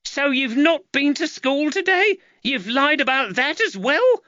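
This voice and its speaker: Guy putting on a shrieky scolding mum voice, way up high, kinda muffled sound. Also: sing-song, unhurried, pushed and bright, dry recording, heavily acted. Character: angry shrill London mother, middle-aged woman.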